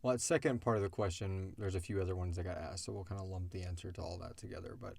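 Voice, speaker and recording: A man co-hosting a casual question-and-answer chat, speaking in an animated way and swearing freely. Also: low-pitched, fast talker, gravelly, no echo. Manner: animated, swearing, acts out imagined dialogue. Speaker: co-host of an audio drama Q&A show.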